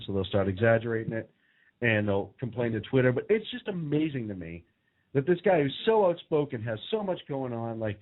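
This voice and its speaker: A man co-hosting a casual political talk show, joking and sarcastic as he tells stories, often breaking into laughs. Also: mid-range voice. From United States. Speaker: co-host. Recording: phone-like narrow sound.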